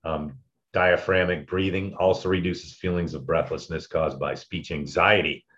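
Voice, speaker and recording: A man with a deep, loud voice, talking casually as he teaches public speaking tips and often chuckles. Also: steady tone, normal speed, dull audio.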